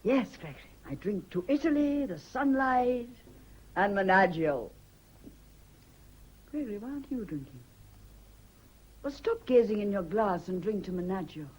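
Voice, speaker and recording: A woman's voice: mid-range voice, big pitch swings, normal speed, long pauses, darkish tone, breathy edge. An actress playing an earnest, determined woman in a fraught love affair, direct and intense, in a radio drama. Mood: relenting, bright, then puzzled, alarmed. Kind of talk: British radio drama acting.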